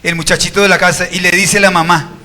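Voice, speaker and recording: A man's voice: high-pitched, little pitch movement, fast, sharp tone. A Colombian man preaching a sermon as pastor at a church service, firing rhetorical questions at the congregation.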